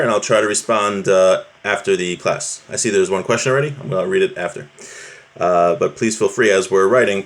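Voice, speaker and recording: A man with a fairly low voice leads an informal Torah class. He reads from a text and explains it in a conversational way, turning often to his listeners to check they follow. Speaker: religious teacher giving a class.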